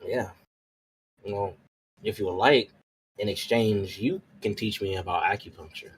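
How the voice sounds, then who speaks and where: A man's voice: fairly low pitch, a little slow, a little hiss or hum, low-quality recording. A man playing a tabletop roleplay, chatting casually in character and often repeating his phrases as he improvises.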